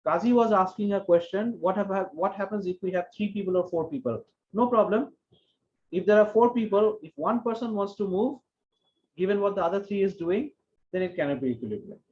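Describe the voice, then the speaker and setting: Brief: male lecturer, high-pitched, methodical, repeats key phrases over a dull call line. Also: a little mellow, a little hiss or hum. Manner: explains step by step, repeating key phrases slowly. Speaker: economics lecturer. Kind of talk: online university lecture.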